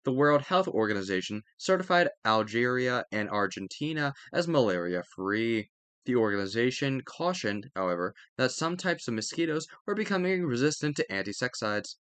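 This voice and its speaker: A man with a medium-pitched voice reads study material aloud. The sound is dry, with no room echo. His delivery is careful and instructional, with an occasional stumble that he corrects. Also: very animated, moderate pace, fairly bright tone. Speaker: narrator reading a history textbook aloud. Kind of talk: read-aloud educational narration.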